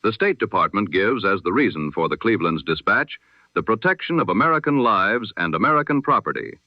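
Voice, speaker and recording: A man reading a history broadcast in the style of an old-time radio newsreader, measured, solemn and formal. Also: fairly low pitch, animated, no room sound, dull audio.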